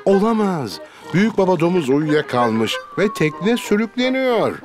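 A man narrating a children's cartoon in an expressive, clear storytelling style. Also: high-pitched, measured pace. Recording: loud music under the voice.

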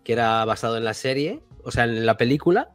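A man's voice: mid-range voice, animated, fast talker, soft background music. A man with a Castilian Spanish accent chatting casually as a podcast panelist, with coarse colloquial wording. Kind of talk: casual chat.

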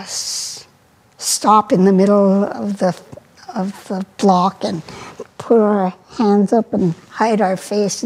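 An older woman interviewed indoors, recalling her childhood in a halting way as she searches for words. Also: medium pitch, darkish tone, slightly rough, low-quality recording.

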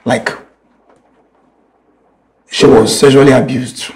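A man acting a serious, careful doctor who speaks in a measured, slightly hesitant way. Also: medium pitch, fairly even intonation, darkish tone, poor audio. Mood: grave. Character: serious, careful doctor giving grim findings. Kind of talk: screen drama acting.

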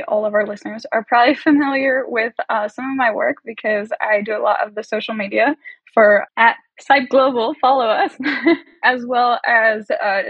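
Higher-voiced, breathy young woman guest. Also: no echo, dull audio. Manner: cheerful, laughs often. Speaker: communications staffer, podcast guest. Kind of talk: casual conversation.

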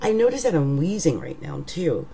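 A middle-aged woman with a rough, raggedy voice, talking casually to her webcam at home as an astrologer, slow and musing, trailing off into whispers. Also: deep voice, sing-song, heavy background noise. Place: living room at home. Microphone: webcam at desk.

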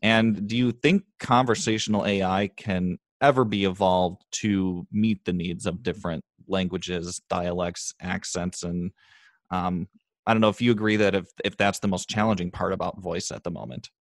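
A man's voice: low-pitched, fairly even intonation, moderate pace, no echo. A man hosting a podcast interview, conversational and reflective, agreeing with his guest. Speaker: podcast host.

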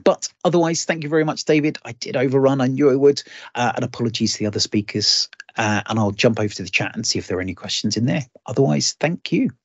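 A man's voice: mid-range voice, quick pace, husky, dry recording, highs cut off. A man wrapping up his tech demo on an online community call. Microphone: computer mic on a video call.

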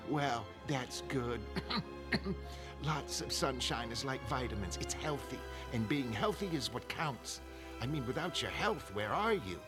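A man acts out an elderly mother from a dramatized comic book. She is folksy and chatty, fussing and forgiving with a wistful streak. Her slow, drawn-out delivery rises and falls a lot, with music clearly behind it. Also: mid-range voice. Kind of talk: dramatic comic-book narration in character voices.

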